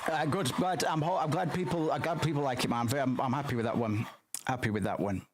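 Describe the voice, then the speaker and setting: A hoarse man rambling casually on a live stream, swearing often and tagging "man" onto his sentences. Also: medium pitch, fast, noisy background. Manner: rambling, profane, keeps saying "man".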